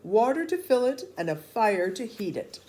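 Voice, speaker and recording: A woman's voice: on the high side, big pitch swings, moderate pace, warm tone, voice pushed up. A woman voicing hungry, hopeful soldiers in a storybook, with an emphatic, declaiming delivery. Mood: bold, proclaiming. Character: hungry, bold, hopeful marching soldiers, adult men. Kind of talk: storybook character reading.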